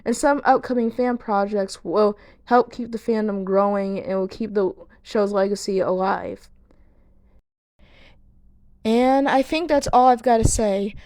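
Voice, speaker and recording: A woman's voice: mid-range voice, unhurried, a little mellow, no room sound, studio quality. A woman giving video essay commentary to viewers, speaking earnestly and now and then stumbling over words. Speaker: video creator and host.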